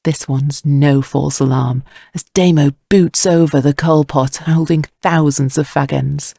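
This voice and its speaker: Creaky-voiced male drama narrator. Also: fairly high pitch, fairly even intonation, a little slow, mellow, no echo. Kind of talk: scripted audio drama narration.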